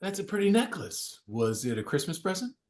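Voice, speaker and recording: A man's voice: sits high, big pitch swings, fairly quick. A man playing a friendly, laid-back young dude at a table read, talking in a casual, slangy way.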